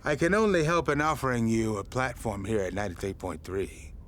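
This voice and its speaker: An actor playing a genial, eccentric older radio station boss in an audio drama, speaking in a courtly, halting, wordy way. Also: male voice, lowish voice, expressive, a bit bright, slight background noise. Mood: solemn, courteous.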